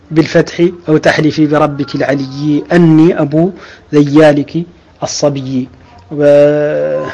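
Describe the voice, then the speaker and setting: A man teaching Arabic grammar, explaining slowly with drawn-out pauses and restarts, and reciting quoted verses. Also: a little high, darkish tone. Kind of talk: lecture explaining a grammar text.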